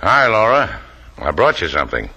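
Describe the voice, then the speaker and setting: An actor playing a tough, wisecracking adventurer with a clipped, deadpan delivery in 1940s-50s radio drama style. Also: male voice, deep voice, moderate pace, slightly rough, dull audio, full character voice. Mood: sardonic. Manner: hard-boiled, clipped, deadpan. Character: tough, wisecracking adventurer hero, coolly sardonic.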